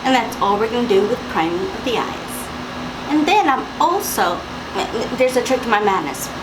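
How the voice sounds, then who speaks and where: Young woman with a raspy morning voice. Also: a little high, big pitch swings, moderate pace. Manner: chatty, instructive, with fillers and asides. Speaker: makeup vlogger. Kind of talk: makeup tutorial talk to camera. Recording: loud background hiss and air-conditioner hum.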